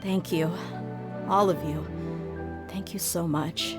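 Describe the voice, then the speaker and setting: An actress playing a tough, sincere young adventurer in a video game, speaking in a measured, earnest way. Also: female voice, fairly low pitch, steady tone. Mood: grateful. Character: tough, sincere young adventurer woman, grieving adopted daughter. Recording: music behind the voice. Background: music.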